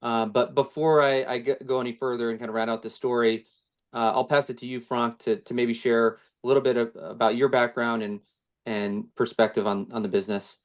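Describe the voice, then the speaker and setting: A man's voice: medium pitch, little pitch movement, fairly quick. Casual businessman with stumbling restarts. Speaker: co-founder of a housing firm. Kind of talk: interview answer.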